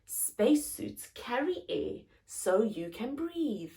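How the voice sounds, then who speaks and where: A woman's voice: fairly high pitch, sing-song, slow pace, gravelly, dark tone. A woman hosting a story time, reading aloud expressively to young children in simple phrases and putting questions to them.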